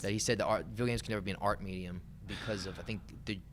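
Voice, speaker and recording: Male podcast co-host, low register, rapid casual delivery, loose and rambling, some background noise. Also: fairly even intonation. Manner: informal, rambling, hedging with "like" and "you know". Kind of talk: casual panel discussion.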